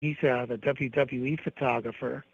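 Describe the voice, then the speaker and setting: A man's voice: medium pitch, fairly even intonation, moderate pace, vocal fry, breathy edge, dry recording. Man on a dull lo-fi call-in line. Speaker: caller, guest photographer. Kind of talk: casual interview talk.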